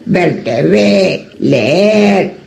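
A woman in her late eighties, giving a slow, wry personal testimony about her days at home. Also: lowish voice, animated, gravelly, a little mellow, soft background music. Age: 80s. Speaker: older caller.

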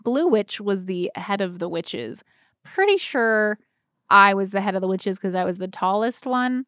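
A woman in her thirties co-hosting a casual chat, telling stories in an animated, irreverent way peppered with "like". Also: mid-range voice, a little slow, no room sound, studio quality. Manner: animated, irreverent, frequent "like" filler. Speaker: podcast co-host.

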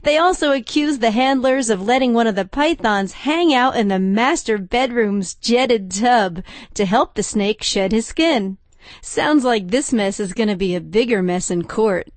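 A woman reading a scripted radio news story in a crisp, wry newsreader delivery. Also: a little high, a little slow, no room sound. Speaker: news reporter.

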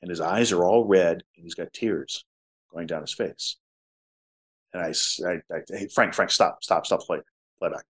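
A man with a low voice, a musician telling stories in a casual interview. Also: moderate pace. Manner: talkative storyteller, drops to soft tones. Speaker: musician guest.

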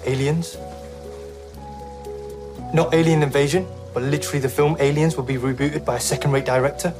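A male actor as a goofy overgrown son in a TV comedy-drama. He talks fast, a lovable and hapless man-child, with clear music behind him. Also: on the high side, fairly even intonation, close to an everyday voice. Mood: deadpan worry.

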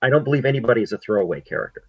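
Husky man on slightly muffled audio. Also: mid-range voice, animated, rapid-fire, no room sound. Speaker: interviewer. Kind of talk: conversational interview commentary.

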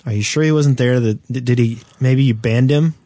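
A man's voice: mid-range voice, normal speed, mellow, no echo. A man hosting a podcast with casual, teasing banter and a playful way of talking. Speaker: podcast host.

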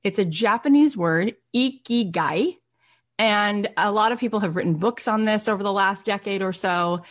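Woman doing a motivational talk to a crowd, mid pitched, punches key phrases and repeats them, asks lots of rhetorical questions. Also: normal speed. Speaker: motivational speaker; podcast host. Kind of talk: motivational workshop talk to an audience.

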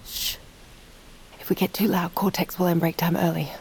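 An actress playing a weary, guarded captive woman, frank and insistent, hushed and urgent, in an audio drama. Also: lowish voice, flat delivery, moderate pace, a little hiss or hum, natural voice, low-quality recording. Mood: hushed caution. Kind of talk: scripted audio drama acting.

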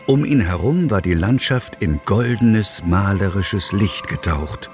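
A man narrating a children's audio drama as a wry, expressive storyteller. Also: fairly low pitch, measured pace, faint music behind. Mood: wry, storytelling.

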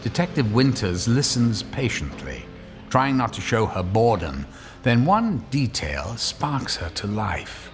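A man's voice: on the low side, big pitch swings, unhurried. Male drama narrator over prominent music.

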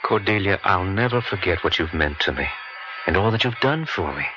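An evasive married man in a radio drama, deep and very animated in pitch as he puts off his mistress. The recording is dull, with music clearly behind him. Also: fairly quick. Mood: placating, impatient. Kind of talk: 1970s radio drama acting.

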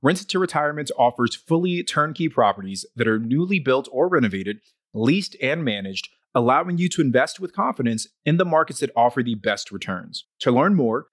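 Male podcast host, medium pitch, dry studio-tight sound, for ad reads and interview chat. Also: no room sound. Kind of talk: scripted ad read and casual interview talk.

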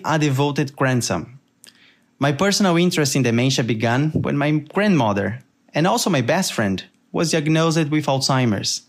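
A young man with a Brazilian accent, hosting a podcast in an earnest, measured scripted narration. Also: mid-range voice. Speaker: podcast host.

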